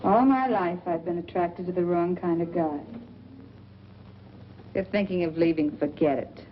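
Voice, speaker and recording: A woman's voice: lowish voice, moderate pace, natural voice. An actress playing a shrewd, world-weary woman drawn to bad men, knowing and sardonic in a 1940s noir style. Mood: cool, knowing. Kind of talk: 1940s film noir acting. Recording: dull, noisy old film soundtrack.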